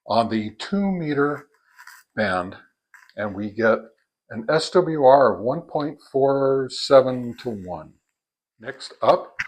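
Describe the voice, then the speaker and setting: An American man hosting a casual hands-on gear review, talking in a halting, plain-spoken, folksy way. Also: medium pitch, a bit bright, no echo. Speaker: host of a ham radio gear review channel.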